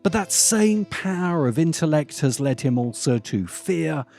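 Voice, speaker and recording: A man narrating a scripted video essay as its host, speaking deliberately with dramatic pauses and emphasis. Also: on the high side, very animated, creaky, darkish tone, soft background music. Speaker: host of a film commentary channel.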